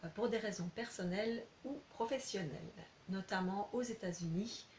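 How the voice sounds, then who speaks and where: Woman, language tutor's informal self-introduction, mid-range pitch, halting phrasing, roomy echo. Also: a little mellow. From Belgium. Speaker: language teacher introducing herself. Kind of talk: informal self-presentation to prospective students. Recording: roomy echo, clear background noise.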